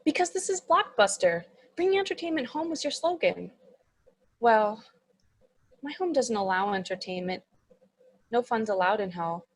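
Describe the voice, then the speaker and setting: A woman's voice: a little high, animated, moderate pace, long pauses. A woman playing an insecure thirteen-year-old demon, timid and apologetic, in a comedy script read. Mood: earnest, eager.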